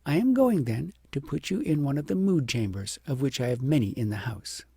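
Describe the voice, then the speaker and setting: A man voicing a wise woman in an audiobook, a grave, loving and patient teacher who speaks in an earnest, measured, instructive way. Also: mid-range voice, expressive, warm tone, breathy edge, no echo, clean studio sound. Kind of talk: audiobook character voice.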